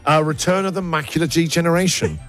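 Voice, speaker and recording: A man's voice: a little high, quick pace, fairly bright tone, faint music behind. A man hosting a radio show, trading puns and laughing at his own jokes. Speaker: radio host. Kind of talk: playful radio show banter.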